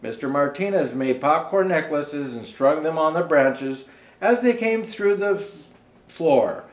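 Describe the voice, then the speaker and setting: Male children's storyteller, highish voice with animated swings, halting read-aloud delivery with asides about pictures, roomy echoing recording. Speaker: storyteller presenting as Santa Claus.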